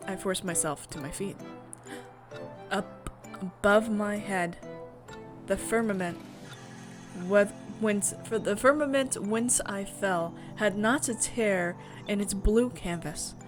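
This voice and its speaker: A woman streamer reading a visual novel aloud with theatrical, expressive narration and casual asides. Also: mid-range voice. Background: music.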